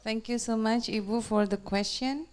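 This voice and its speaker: A woman's voice: on the high side, steady tone, normal speed, a little mellow, slight background noise. A woman moderating a seminar, politely thanking and directing audience members.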